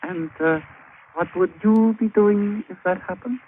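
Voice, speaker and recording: A man's voice: sits high, unhurried. An actor playing a sly, scheming island witch doctor in an old radio drama, speaking clipped broken English with sardonic amusement. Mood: wary, amused. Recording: tinny, faintly hissy old radio recording.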